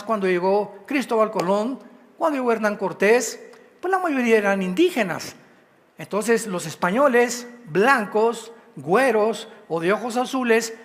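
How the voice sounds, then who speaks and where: A Mexican man preaches with a high voice that rings through a large hall's long reverb. He is emphatic and a little tired, throwing rhetorical questions at his congregation as he teaches. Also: fairly bright tone. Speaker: pastor. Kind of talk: sermon teaching. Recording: hall reverb.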